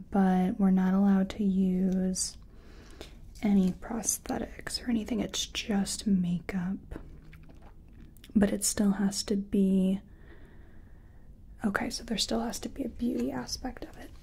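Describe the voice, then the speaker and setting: Soft spoken asmr lady, breathy whispers w lots of fry, mellow, chatty makeup artist roleplay. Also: medium pitch, a little hiss or hum.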